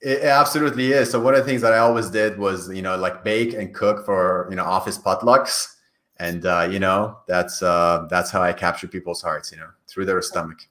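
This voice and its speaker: Male interview guest, coach type. Native Farsi, from Iran. Deep-leaning pitch, emphatic explainer, reverberant room sound. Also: fairly even intonation, moderate pace, a bit bright. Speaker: career coach, podcast guest. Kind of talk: conversational interview answers.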